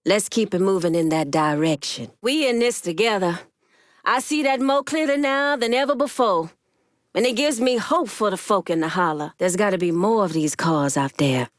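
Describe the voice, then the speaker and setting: A woman playing a commanding, wary female gang leader in a dramatic video game, speaking deliberately and sternly with folksy turns of phrase. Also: medium pitch, dry recording, clean studio sound, lightly acted. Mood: businesslike, firm.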